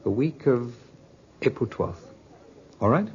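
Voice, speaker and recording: A man's voice: medium pitch, expressive, takes it slowly, a little mellow, breathy edge, heavily acted. An actor playing a glib, scheming ladies' man in a 1950s radio drama, with a suave, coaxing manner. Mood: indulgent. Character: smooth-talking two-timing male lover, glib and scheming. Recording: dull 1950s radio recording.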